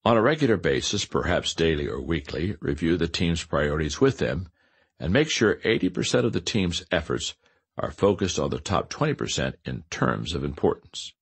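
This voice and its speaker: Deep-voiced man narrating an audiobook, lively intonation. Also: normal speed, vocal fry, husky. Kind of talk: scripted nonfiction audiobook narration.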